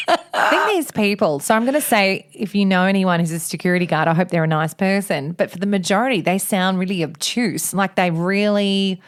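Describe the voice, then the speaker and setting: A woman's voice: medium pitch, normal speed. A woman with an Australian accent co-hosting a podcast, bantering casually in a blunt, incredulous, sweary manner. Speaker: podcast co-host.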